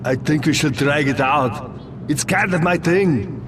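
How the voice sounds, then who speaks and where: An actor playing a vain, boastful, dim muscle-bound villain in a video game, bragging with swagger. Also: male voice, a little high, measured pace, noisy background, lightly acted. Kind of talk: video game cutscene acting.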